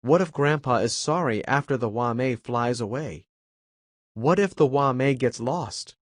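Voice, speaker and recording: Calm read-aloud narrator, male teacher, measured pace, close dry recording. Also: mid-range voice, no room sound. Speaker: English teacher reading a story.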